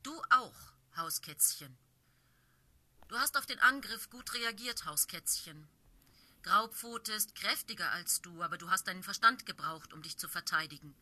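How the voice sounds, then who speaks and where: Blaustern, a stern she-cat leader from a storybook, as a woman reads her, commanding and firm, her tone bright and sharp with a forceful edge. She speaks with the weight of a clan's leader, and her every word carries judgment. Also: on the low side, expressive, normal speed, heavily acted. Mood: stern, approving.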